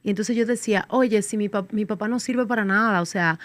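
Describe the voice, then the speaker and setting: A woman's voice: medium pitch, brisk, no echo. A woman from the Dominican Republic, a podcast guest, speaking candidly in casual interview chat, reflective with wry humor.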